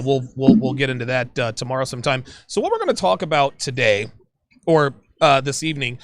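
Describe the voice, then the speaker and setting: A man hosting a casual panel podcast, animated and joking, often laughing, with a high-pitched voice. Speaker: podcast host.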